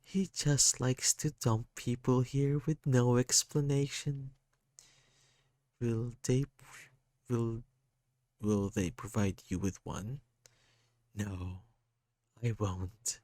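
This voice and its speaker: A man's voice: medium pitch, a little mellow, no room sound, pitched above the performer's own voice, close to an everyday voice. A man voicing a sad, short-tempered child, speaking in a raised, strained, tearful voice. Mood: weary.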